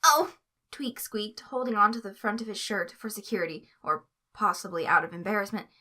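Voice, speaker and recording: A woman narrating a story aloud in an expressive, scripted reading style. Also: medium pitch, fairly quick. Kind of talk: expressive fan fiction reading.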